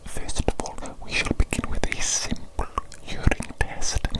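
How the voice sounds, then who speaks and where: A woman voicing an evil, doting mad doctor in a breathy ASMR whisper, with formal, repetitive phrasing. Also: natural voice. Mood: doting, theatrical. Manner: slow, repetitive, formal old-fashioned phrasing. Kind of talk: whispered ASMR medical roleplay.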